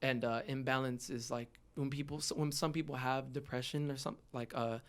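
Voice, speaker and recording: A man's voice: mid-range voice, steady tone, moderate pace. A man in his twenties speaking candidly and earnestly as a guest in a conversational interview. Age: 20s. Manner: earnest, heartfelt. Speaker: podcast guest, rapper.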